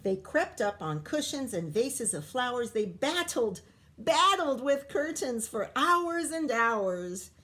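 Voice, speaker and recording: Primary teacher, female, slightly high voice, very measured pace; expressive children's read-aloud with coaxing asides. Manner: expressive, sing-song story reading, coaxing questions to young listeners. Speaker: primary school teacher reading aloud to pupils.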